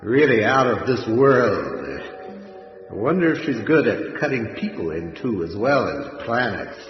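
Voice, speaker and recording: A man's voice: mid-range voice, very animated, a little slow. A man playing a sly, macabre horror host in old-time radio style, drawling sardonic, theatrical asides. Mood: sly, leering. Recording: dull, echoey hall with loud-mixed music.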